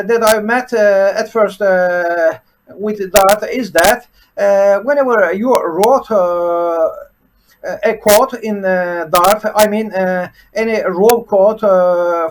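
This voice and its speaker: A man's voice: sits high, slight background noise. A man from Turkey, a native Turkish speaker with a Turkish accent, giving an unscripted presentation as a programming teacher, stopping on "uh" between nearly every few words. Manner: halting, with constant "uh" fillers between words. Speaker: programming teacher and channel host. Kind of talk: unscripted screen-share presentation.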